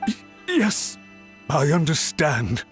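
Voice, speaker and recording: A man's voice: on the high side, sing-song, takes it slowly, faint music behind. A man voicing an anxious, apologetic official in a video game, speaking in a formal, deferential, pleading way. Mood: nervous, submissive. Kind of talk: dramatic video game voice acting.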